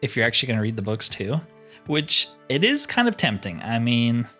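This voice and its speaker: A man's voice: mid-range voice, huge pitch swings, a little fast, bright, forceful tone. Casual man commentating over background music. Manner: informal, mild exclamations, musing aloud to viewers. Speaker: gaming channel host. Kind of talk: casual game playthrough commentary.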